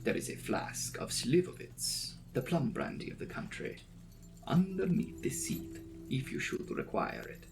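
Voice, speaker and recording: A man's voice: a little high, sing-song, measured pace, vocal fry, background noise, voice pushed up, full character voice. A man voicing a mysterious, strong coachman in a storybook, commanding yet formally courteous in an old-fashioned way. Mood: courteous, measured.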